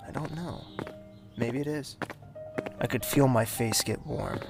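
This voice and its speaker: A young nobleman played by a male actor speaks slowly in a mid-pitched voice over clear background music. He is exasperated yet secretly smitten and fearful, in the manner of a fantasy audio drama. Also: close to an everyday voice. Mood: wistful, smitten.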